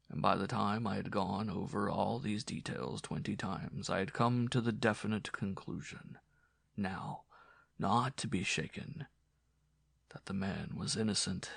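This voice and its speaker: A man voicing a dying old former prosecutor who confesses his guilt in a grave, halting, formal way. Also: low voice, creaky, fairly bright tone, breathy edge, no echo, studio quality, exaggerated voice. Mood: grave, anguished. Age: older.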